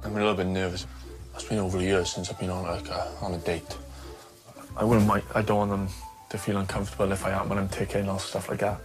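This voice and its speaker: A young man with a Welsh accent and an extremely deep voice. He talks in short, halting replies and seems to struggle with small talk. Music plays under him. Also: little pitch movement, poor audio. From Wales. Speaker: dating show participant. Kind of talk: casual chat.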